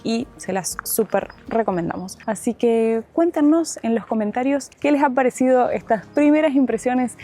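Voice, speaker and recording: A woman's voice: fairly high pitch, moderate pace, hardly any pauses, dark tone. An Argentine woman in her thirties, a travel vlogger explaining the trip in a chatty way outdoors in the mountains. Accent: Argentine Spanish. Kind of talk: travel vlog narration.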